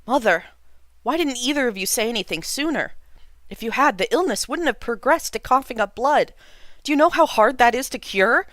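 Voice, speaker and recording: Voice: medium pitch, expressive, fairly quick, hardly any pauses, a bit bright, no room sound. A reader voicing a young prince who raises his voice in distress and reproach. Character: young prince, earnest and quick to anger.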